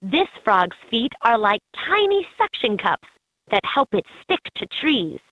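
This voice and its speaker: A woman reading scripted educational narration for a children's game in an expressive, instructive way. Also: on the high side, a little slow, no room sound. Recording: slightly dull, lo-fi game audio.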